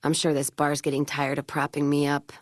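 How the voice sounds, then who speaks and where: A woman's voice: sits low, completely flat delivery, brisk, no room sound, studio quality. A woman playing a tough, hard-drinking caravan trader in a video game, blunt and wry, with folksy, clipped talk. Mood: wry, resigned. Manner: plain-spoken, folksy drawl of clipped sentences, swearing. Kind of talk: video game character voice acting.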